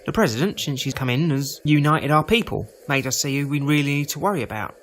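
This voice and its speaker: An actor playing a prejudiced, chatty market-stall trader in an audio drama, rambling in a folksy, defensive way. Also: male voice, fairly high pitch, fast talker, slight background noise, natural voice. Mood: self-righteous. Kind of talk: full-cast audio drama acting.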